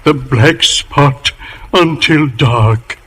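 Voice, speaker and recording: An actor playing an ailing, stubborn old sea captain with slow, rustic sailor speech in an old radio drama. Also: male voice, a little high, a bit bright. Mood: fearful, defiant. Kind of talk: 1950s radio drama acting. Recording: noisy vintage radio broadcast.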